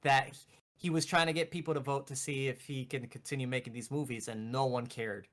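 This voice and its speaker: A man co-hosting a casual chat, excitable and given to repeating his phrases for emphasis. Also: mid-range voice, brisk. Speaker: podcast co-host. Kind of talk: casual chat about video game movies.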